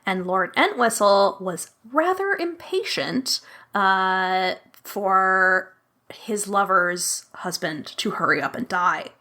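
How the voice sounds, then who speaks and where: A woman plays through a tabletop murder mystery, thinking aloud at a slow pace. Her voice is bright and sharp, and she works through her reasoning with plenty of fillers. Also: mid-range voice, expressive. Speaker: guest player. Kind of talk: playing a tabletop mystery game.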